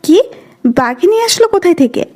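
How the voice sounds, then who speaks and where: A woman playing a soft-hearted young wife in an audio story, with a pleading, coaxing manner. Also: extremely high pitch, big pitch swings, moderate pace, faint music behind. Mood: tender, coaxing.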